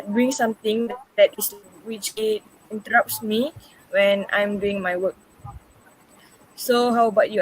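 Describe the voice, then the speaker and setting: A woman's voice: medium pitch, a little hiss or hum, poor audio. Hesitant woman in her twenties. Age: 20s. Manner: hesitant, with frequent fillers and restarts. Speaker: student interviewee. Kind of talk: prepared class interview answers.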